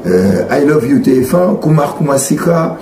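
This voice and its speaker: A middle-aged man from Congo, answering interview questions in a halting, earnest way with many fillers and repeats. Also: on the high side, expressive. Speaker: singer guest. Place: small indoor studio room. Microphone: handheld mic on a stand. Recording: roomy sound with ambient noise.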